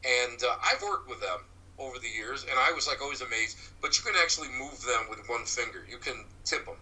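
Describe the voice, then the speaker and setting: A man with a loud voice, answering interview questions about his paranormal work in a rambling way, full of restarts and fillers. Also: medium pitch, a little fast, slight background noise, rough recording. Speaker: paranormal investigator and medium. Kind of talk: interview guest, casual explaining.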